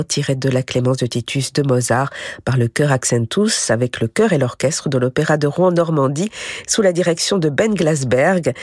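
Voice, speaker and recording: A radio presenter reading a scripted culture news bulletin in a smooth, even announcing manner. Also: mid-range voice, dry recording, studio quality. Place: radio studio.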